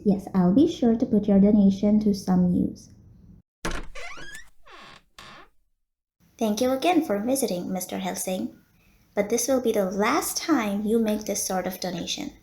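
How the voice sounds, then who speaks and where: A woman's voice: medium pitch, mellow, roomy sound, lightly acted. A woman playing a polite, teasing female vampire who runs a blood bank, in an audio roleplay spoken to the listener. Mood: patient, placating. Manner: genteel, courteous, teasing. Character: polite, flirty female vampire running a blood bank, playful and long-suffering. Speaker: medical center receptionist.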